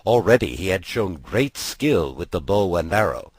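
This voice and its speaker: Male storybook narrator, husky and low, measured dramatic phrasing, close dry sound. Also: sing-song, dry recording. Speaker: audiobook narrator. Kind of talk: expressive scripted storytelling narration.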